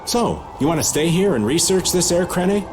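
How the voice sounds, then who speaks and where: A man's voice: high voice, very animated, moderate pace, noisy background. An actor playing an easygoing, whiny middle-aged adventurer who plays the old man, with a folksy manner, in a video game. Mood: casual, wry. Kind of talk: English video game dub acting.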